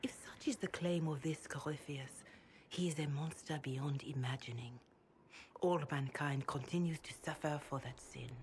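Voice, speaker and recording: A woman playing an older, pious holy woman in a video game, speaking gravely and gently in measured, formal phrases. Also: deep voice, a little hiss or hum, lightly acted. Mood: solemn, grave. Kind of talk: dramatic video game dialogue.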